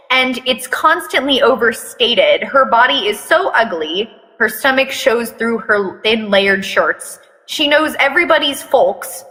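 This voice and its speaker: A woman's voice: mid-range voice, bright, forceful tone. A woman giving a mock-dramatic reading of fan fiction, breaking off for blunt, sweary, casual asides. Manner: theatrical reading broken by blunt, sweary commentary. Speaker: fan fiction reader and commentator. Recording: echoey reverberant room.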